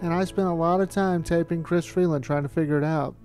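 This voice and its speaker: A man's voice: on the high side, fairly even intonation, fairly quick, music in the background. A middle-aged man narrating a documentary, looking back on his amateur filmmaking.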